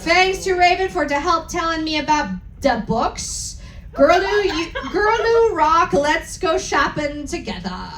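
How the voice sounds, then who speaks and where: A woman's voice: very high voice, very animated, slow, fairly bright tone, reverberant, a little hiss or hum, voice pushed up, exaggerated voice. A woman playing a bratty, gushing teenage fanfiction writer who exclaims in garbled slang.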